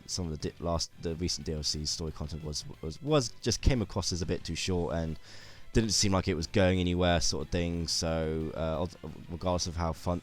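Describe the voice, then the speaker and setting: A man with a sore throat, rambling through a casual gaming commentary with many restarts and fillers. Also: low voice.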